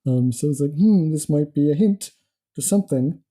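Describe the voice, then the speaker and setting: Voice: medium pitch, normal speed. A podcast co-host chatting casually and eagerly, with frequent ums while recapping news. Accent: not Australian. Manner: excitable, many um fillers. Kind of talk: casual chat.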